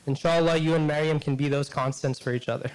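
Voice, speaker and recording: A young man giving a prepared wedding speech, reading quotes and adding deadpan jokes, on a handheld mic in a banquet hall. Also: a little high, quick pace, clean tone. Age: young adult. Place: large banquet hall, indoors. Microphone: handheld microphone.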